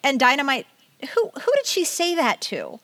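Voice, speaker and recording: A woman's voice: high voice, huge pitch swings, normal speed, fairly bright tone, dry recording. A middle-aged American woman co-hosting a casual podcast, chatty and wry as she comments and reads bits aloud. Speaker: podcast co-host. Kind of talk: casual chat with read-aloud magazine excerpts.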